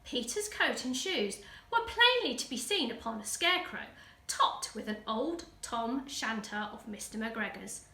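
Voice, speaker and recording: A woman's voice: on the high side, animated, a little slow, sharp tone. A woman with an English accent reading a children's story expressively, with dramatic emphasis for young listeners. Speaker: school teaching assistant reading a story. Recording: roomy echo, distant microphone.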